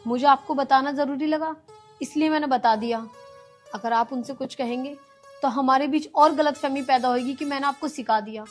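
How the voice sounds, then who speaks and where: A woman playing a patient young daughter-in-law in a family drama skit, pleading and soft-spoken. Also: high voice, normal speed, soft background music. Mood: gentle.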